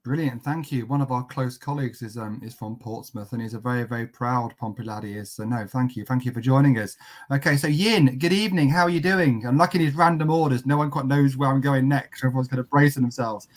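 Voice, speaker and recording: A man hosting a relaxed online student panel, jovial and teasing as he hands the floor to each guest. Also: mid-range voice, fast. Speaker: host.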